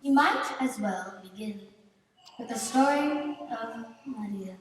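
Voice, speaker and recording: A woman's voice: fairly high pitch, slow pace. A woman performing as a storyteller, narrating a folk tale in a theatrical scripted style. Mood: storytelling. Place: theatre stage, far camera. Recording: echoey, noisy theatre hall from far off.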